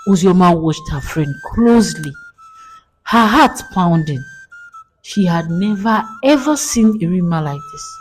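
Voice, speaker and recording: A woman's voice: fairly low pitch, lively intonation, a little slow, faint music behind. A woman storyteller giving dramatic scripted narration of a folktale.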